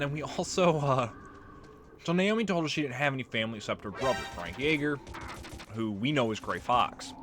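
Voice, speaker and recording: A man's voice: mid-range voice, a bit bright, slight background noise. A man giving casual gameplay commentary as a let's play host, informal and rambling.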